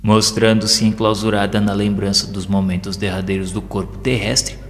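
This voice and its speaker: A man's voice: fairly low pitch, little pitch movement, normal speed. A Brazilian man reading a literary audio novel as narrator, with formal, solemn diction. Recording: long reverb, prominent background music. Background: music.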